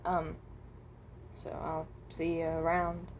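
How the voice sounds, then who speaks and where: A woman voicing a weary, self-loathing animatronic rabbit, halting and often trailing off, in an amateur improvised monologue. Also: low-pitched, completely flat delivery, slight background noise. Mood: hesitant, awkward.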